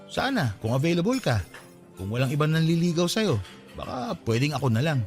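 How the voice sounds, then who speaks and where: Lively, earnest Filipino suitor. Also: male voice, on the high side, brisk, faint music behind. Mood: earnest, hopeful. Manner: courting, conversational. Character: earnest, eager male suitor on a date. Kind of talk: Filipino radio drama dialogue.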